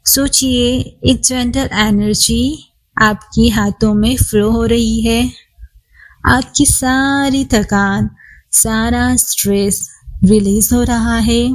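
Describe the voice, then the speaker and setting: A woman leads a guided body scan meditation as a yoga instructor, calm and soothing, leaving long pauses between phrases. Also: fairly high pitch, rough recording.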